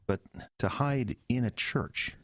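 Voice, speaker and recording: An actor playing an earnest, skeptical young pastor with a hesitant, halting way of talking in an audio drama. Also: male voice, medium pitch, dry recording, studio quality. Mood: puzzled.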